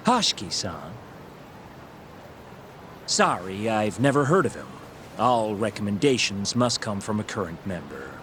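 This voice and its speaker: A man's voice: fairly low pitch, very animated, a little slow, pauses often, a bit bright, a little hiss or hum. A man playing a formal, stiffly polite and suspicious club staffer in a video game cutscene. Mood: politely guarded.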